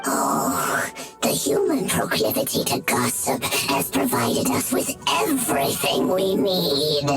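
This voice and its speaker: A small gloating sorcerer monster from a video game. The voice is high and theatrical, drawing out its taunts very slowly and swooping wildly in pitch, with loud music behind. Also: female voice, full character voice. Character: gloating, fluffy little Abyss Mage monster villain.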